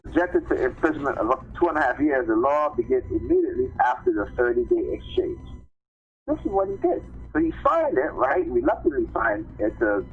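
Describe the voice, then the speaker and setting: A man reading news articles aloud on a live stream, haltingly, repeating phrases and adding informal commentary. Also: mid-range voice, wildly swooping pitch, soft background music. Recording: tinny phone-line sound with clear background noise.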